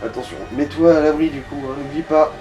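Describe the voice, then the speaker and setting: Dude w soft dark-ish voice coaching a gamer, slangy n crude, faint music behind. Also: male voice, fairly high pitch, fairly even intonation, fast talker, muffled recording. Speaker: gaming co-commentator giving advice. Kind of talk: casual gaming commentary.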